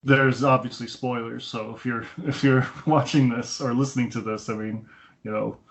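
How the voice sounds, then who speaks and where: A man's voice: mid-range voice, steady tone, normal speed, vocal fry, fairly bright tone. A man co-hosting a casual film podcast over an internet call, rambling along in an informal, conversational way. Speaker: podcast co-host reviewing films. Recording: dull, lo-fi Skype call.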